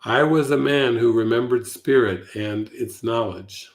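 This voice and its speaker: A man speaking as a spiritual teacher in a devotional setting, with a measured and earnest delivery. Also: mid-range voice. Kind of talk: devotional reading and informal spiritual talk.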